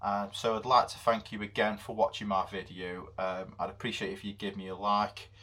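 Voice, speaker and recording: A man with a deep, low voice talks through a music theory lesson. His explanation is loose and unscripted, full of ums and pauses. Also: flat delivery, bright, forceful tone. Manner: unscripted, halting explanation with many fillers. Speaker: music teacher.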